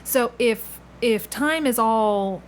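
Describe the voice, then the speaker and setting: A woman's voice: a little high, slow pace. Casual woman podcast host, noisy background. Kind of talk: casual conversational interviewing, thinking aloud. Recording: clear background noise with room ambience.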